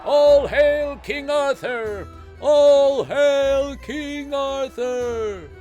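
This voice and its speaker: Clamorous villagers played by a storyteller, loud, declaiming, raised pitch, storybook, music bed. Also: drawn-out words. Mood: jubilant. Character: clamorous, indignant villagers of a kingdom.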